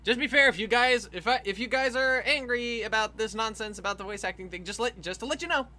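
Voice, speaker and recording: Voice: mid-range voice, fast, bright, forceful tone. A livestream host chatting casually while animating, rambling and laughing as they talk, with frequent swearing.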